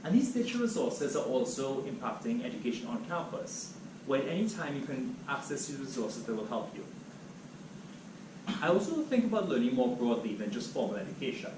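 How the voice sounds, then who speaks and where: A man's voice: mid-range voice, a little fast. A man from Trinidad and Tobago, giving an academic seminar talk as guest speaker in a lecture room. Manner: animated, steady lecturing. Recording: echoey room, distant mic, background noise.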